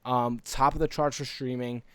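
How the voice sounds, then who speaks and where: A man's voice: medium pitch, flat delivery, moderate pace, fairly bright tone, clear, resonant tone, dry recording. A man co-hosting a casual gaming podcast in an earnest, rambling way, close to the microphone. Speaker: podcast co-host.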